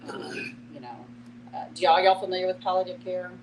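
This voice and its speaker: A woman explains things informally to a group. Her talk is loose and conversational, dotted with "you know", over a clear hum. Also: fairly low pitch, little pitch movement, a little fast. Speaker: discussion participant. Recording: noisy hum behind voice.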